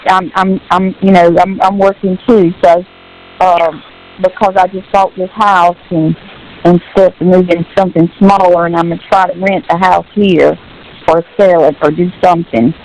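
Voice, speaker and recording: An older woman on a personal phone call, speaking casually and earnestly giving advice in a halting, repetitive way. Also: lowish voice, flat delivery, darkish tone. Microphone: telephone. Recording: hissy, noisy phone call.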